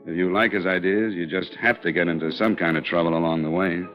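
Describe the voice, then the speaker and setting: Guy playing a dry wry tough-guy investigator, lowish voice, muffled old radio sound. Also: fairly even intonation, fast talker, lightly acted. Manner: dry, matter-of-fact, wry. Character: hard-boiled male insurance investigator, dry and wry. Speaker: narrator and lead character. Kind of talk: 1950s radio drama acting. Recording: dull lo-fi old radio broadcast with faint music.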